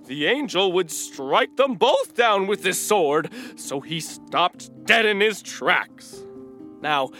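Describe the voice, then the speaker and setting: Animated, bright-voiced talking donkey. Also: male voice, fairly high pitch, exaggerated voice. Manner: animated, folksy storytelling. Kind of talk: children's audio drama acting.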